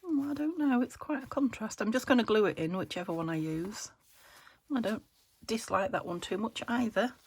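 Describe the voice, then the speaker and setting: A middle-aged woman with a croaky voice from a cold, chatting casually while she crafts and asking little tag questions. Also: on the high side, moderate pace, slight background noise. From England. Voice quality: gravelly. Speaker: craft vlogger. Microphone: phone camera.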